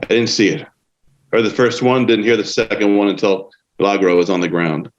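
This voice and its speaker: A man reading a tough, steady Texas Ranger in his fifties at a screenplay table read, speaking calmly and reassuringly. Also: a little fast, natural voice. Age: 50s. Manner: calm, low, reassuring. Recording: dull, lo-fi sound.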